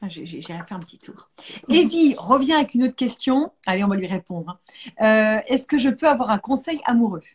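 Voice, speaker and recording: A woman's voice: a little high, animated, fairly quick, slightly rough. A woman hosting a casual live chat, talking informally and repeating words for emphasis. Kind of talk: casual conversational interview.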